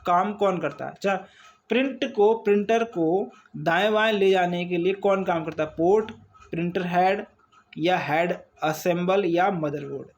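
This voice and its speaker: A man's voice: high-pitched, measured pace, muffled recording. A man with an Indian accent giving an informal exam-prep lesson, reading out quiz questions and explaining them with repeated check-in questions. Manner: repetitive, checks in with listeners using questions and frequent tags. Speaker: online exam-prep teacher.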